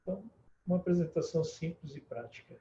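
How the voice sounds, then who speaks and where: Middle-aged man from Mozambique, dark and formal. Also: fairly high pitch, a little hiss or hum. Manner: formal and measured, building points in lists. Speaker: guest, book publisher. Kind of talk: interview answers. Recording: echoey room, dull lo-fi sound.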